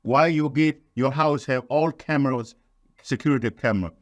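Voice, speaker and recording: A man from Sudan, giving deliberate, emphatic interview answers in nonstandard English. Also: medium pitch, dry recording. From South Sudan. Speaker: guest, activist.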